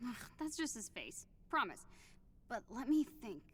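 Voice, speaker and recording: A woman's voice: on the high side, sing-song, a little slow, breathy edge, heavily acted. An actress playing an upbeat young female hero in a video game, chatty, reassuring and playful. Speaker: voice actor. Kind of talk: casual video game dialogue acting.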